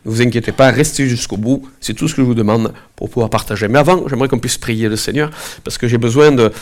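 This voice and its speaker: A man with a head cold giving a relaxed, conversational sermon to his congregation, with many fillers and asides, also leading a prayer. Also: medium pitch, quick pace. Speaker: preacher. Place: church gathering, also streamed online.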